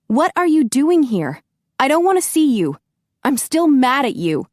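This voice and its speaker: A fiery young woman, as a female narrator puts her on, all heated and exasperated. Her voice rides noticeably higher and louder than the narration, and the sound is close and dry. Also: expressive, moderate pace, dry recording, lightly acted. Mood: angry.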